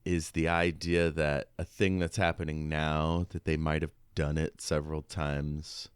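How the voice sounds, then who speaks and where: Deep, smooth male podcast co-host. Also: little pitch movement, measured pace, no echo, studio quality. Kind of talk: casual book discussion chat.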